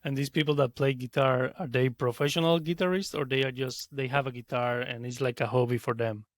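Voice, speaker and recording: Male host, english, short questions, mid pitch, dry close sound. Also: steady tone, fairly quick, no echo. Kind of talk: interview questions.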